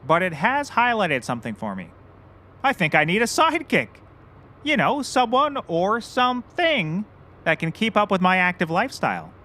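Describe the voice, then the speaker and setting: An actor playing a hapless, self-important and childish private eye in a scripted comedy audio show, earnest, excitable and oblivious. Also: male voice, high-pitched, moderate pace, exaggerated voice.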